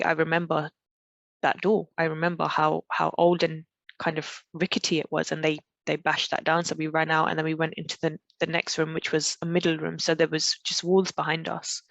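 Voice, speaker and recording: A woman from Pakistan, a podcast guest telling a personal story in a reflective, halting way with frequent restarts. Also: low-pitched, no echo. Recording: dull, dry, lo-fi recording.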